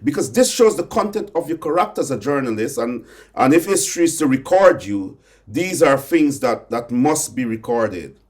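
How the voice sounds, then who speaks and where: A man's voice: medium pitch, very animated, moderate pace, darkish tone. A Guyanese man interviewing a guest, talking in long rambling turns with many repeated words and restarts. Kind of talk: conversational interview.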